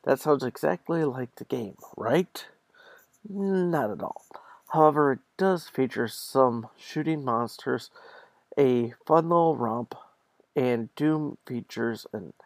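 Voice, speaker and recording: A man's voice: mid-range voice, gravelly, no room sound. A man hosting a podcast solo, talking informally and partly reading from an article. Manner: informal, conversational. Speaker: podcast host.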